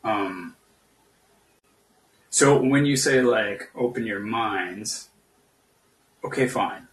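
A man casually debating politics as a caller, skeptical and halting, feeling his way through each point. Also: fairly low pitch. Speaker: podcast guest caller. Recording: echoey room, distant from mic.

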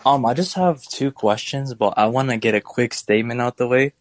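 A young man phones in to a live show and talks in a loose, rambling way, laughing now and then as he goes. His voice sits at an ordinary pitch, and the sound is close and dry. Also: brisk, no room sound. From United States. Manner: rambling, chatty, laughs while telling stories. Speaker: caller. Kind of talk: casual call-in question.

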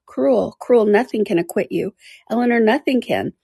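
A woman's voice: on the high side, normal speed, vocal fry, dark tone, slightly rough, dry recording, studio quality, pitched above the performer's own voice. A woman reading aloud and voicing a heartbroken, passionate young woman who pleads in anguish. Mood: distraught.

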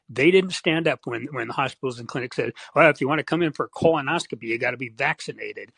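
A man phones a talk show with strong, indignant opinions. His voice is creaky and rough, with a dry sound free of room echo. He speaks off the cuff, haltingly, often repeating himself. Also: mid-range voice, fairly bright tone. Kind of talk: opinionated unscripted call-in talk.

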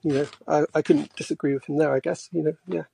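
A man in his forties from England, a quiet-voiced caller talking casually and haltingly about politics. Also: a little high, steady tone, creaky, slightly rough. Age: 40s. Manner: hesitant, halting.

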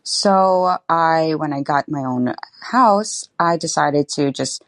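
A woman's voice: low-pitched, no room sound. A young woman guest on a casual podcast, bubbly and talkative, saying "like" often. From Florida. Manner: bubbly, lots of "like" and quick asides. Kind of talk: casual interview chat.

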